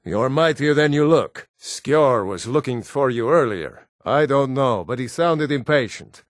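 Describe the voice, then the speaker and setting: A man acting as a proud, battle-hardened Nord warrior in a fantasy game. He speaks at a slow, deliberate pace with a commanding, solemn bearing. The voice sits in a middle male register and is recorded close and dry. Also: medium pitch, lively intonation, dry recording, lightly acted. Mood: brusque, testing. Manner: solemn, commanding, terse martial delivery.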